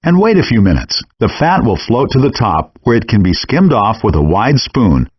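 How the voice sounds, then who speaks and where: A man's voice: medium pitch, big pitch swings, normal speed, dry recording. A man reading scripted step-by-step instructions as the narrator of a game. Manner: clear step-by-step explaining. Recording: dull, compressed handheld game audio.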